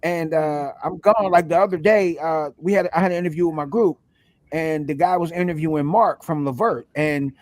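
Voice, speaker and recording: A middle-aged American man chatting casually as an interview guest, talking in an animated, long-winded way. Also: a little high, moderate pace, no room sound. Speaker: stage play cast member, guest.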